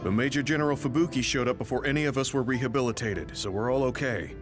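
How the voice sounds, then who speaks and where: Man playing a fast-talking, reassuring teammate. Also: medium pitch, music in the background, lightly acted. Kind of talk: scripted video game cutscene acting.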